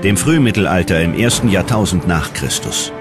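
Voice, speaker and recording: A man reading scripted documentary narration in a dramatic, measured manner. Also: low voice, expressive. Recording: loud music under the voice. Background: loud background music.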